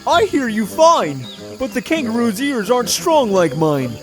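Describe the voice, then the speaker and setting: A performer plays a gentle male elephant for a children's audio story. The delivery is sincere and pleading, with wide swings of pitch. Music runs underneath. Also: very animated, measured pace. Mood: urgent, encouraging. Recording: music behind the voice.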